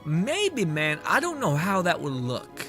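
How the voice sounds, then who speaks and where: A man's voice: a little high, huge pitch swings, slow. Slangy, rambling male game streamer over music. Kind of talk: casual live game commentary.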